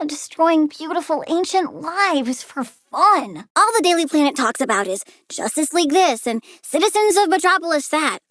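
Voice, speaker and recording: A woman's voice: high-pitched, expressive, moderate pace, fairly bright tone, dry recording, studio quality. A woman playing a bratty, perky young girl villain who adores plants and scorns humans, in a childlike, petulant video game voice. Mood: petulant, scornful. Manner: petulant, childlike, blithely menacing. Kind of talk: video game character voice lines.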